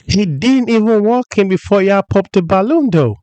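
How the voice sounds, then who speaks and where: A man with a flu-roughened voice, hosting a reaction channel and commenting bluntly and jokingly on clips. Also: high-pitched, lively intonation, moderate pace, mellow, no echo. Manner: blunt, joking, repeats himself. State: hoarse from flu.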